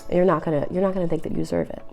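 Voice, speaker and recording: A young woman with a cold, sounding nasal and raspy, giving earnest, encouraging relationship advice straight to the viewer. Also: lowish voice, fairly even intonation, very fast, mellow, soft background music. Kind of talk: direct-to-camera advice vlog.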